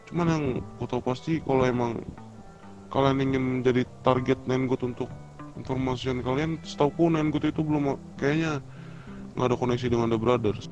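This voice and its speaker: A man's voice: medium pitch, fairly even intonation, moderate pace, lightly acted. Man playing a muffled, agreeable gang leader. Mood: cooperative, casual. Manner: informal, hesitant, agreeable. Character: cooperative, casual male street gang leader reporting information. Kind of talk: online game roleplay dialogue. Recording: muffled game voice chat with music.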